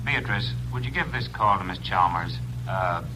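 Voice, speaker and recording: An actor playing a mild, hesitant office clerk on the phone in 1940s radio style. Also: male voice, deep voice, lively intonation, normal speed, sharp tone, rough recording. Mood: hesitant, polite. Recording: old radio recording, faint noise.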